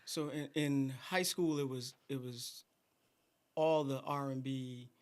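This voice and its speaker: A middle-aged man from Guyana, a singer, chatting in an interview in a soft, halting way with many fillers. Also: medium pitch, steady tone. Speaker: guest singer. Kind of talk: casual interview chat.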